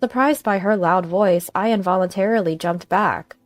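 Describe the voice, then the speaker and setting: Female story narrator, mid-pitched, scripted dramatic first-person read, dry studio-style sound. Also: moderate pace, dry recording, faint music behind.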